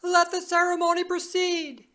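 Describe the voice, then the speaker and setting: Woman putting on a shrieking, very high crowd. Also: completely flat delivery, moderate pace, fairly bright tone. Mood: shrill, pleading. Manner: shrill pleading, exultant cries. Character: shrieking crowd of island people.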